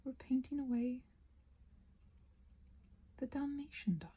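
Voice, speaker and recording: A soft-spoken woman with a breathy voice that often nears a whisper, rambling casually and self-deprecatingly to herself as she crafts. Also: high-pitched, animated, takes it slowly. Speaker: craft and ASMR video creator.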